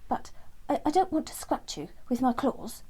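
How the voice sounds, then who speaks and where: Small shy boy wren for a bedtime tale, played by a woman: courteous, hesitant, higher and lilting. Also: big pitch swings, normal speed, dark tone, slightly rough, exaggerated voice. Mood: hesitant, polite. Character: timid, polite little male wren. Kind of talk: children's storybook character voice.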